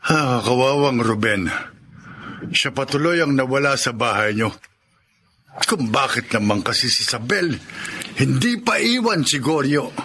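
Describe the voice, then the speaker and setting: A man playing a kindly, protective older employer in a radio drama, gentle and measured in manner. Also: mid-range voice, expressive, a little hiss or hum. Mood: concerned, kindly.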